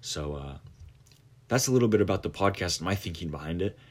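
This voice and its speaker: Podcast host, late teens, male, very low voice, loose rambling delivery full of ums. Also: expressive, brisk. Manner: rambling, many fillers and restarts. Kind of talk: casual monologue.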